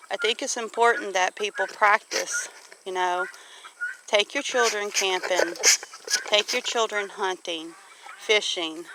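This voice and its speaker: A woman's voice: medium pitch, pushed and bright, a little hiss or hum, low-quality recording. A middle-aged woman chatting casually as a homesteading vlogger, earnest, folksy and encouraging in the way she talks. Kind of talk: casual chat to camera about homesteading.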